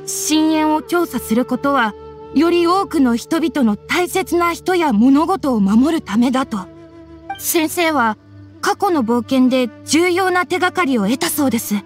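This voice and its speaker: A woman voicing an earnest, polite young woman in a Japanese anime game, grieving and formal in speech. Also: high voice. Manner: polite, soft-spoken formal phrasing. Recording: studio voice over game music.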